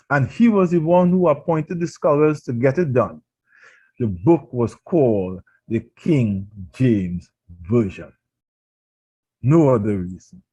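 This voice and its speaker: A man's voice: a little high, darkish tone, muffled recording. A man with a Trinidadian accent, preaching a radio sermon and pressing his points with emphatic rhetorical questions. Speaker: pastor.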